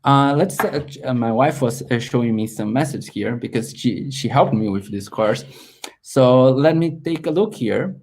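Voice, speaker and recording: A man's voice: medium pitch, moderate pace, a little mellow. Earnest man. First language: Portuguese. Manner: earnest, plain non-native phrasing, some restarts. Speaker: course creator hosting a webinar. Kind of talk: live webinar sales pitch.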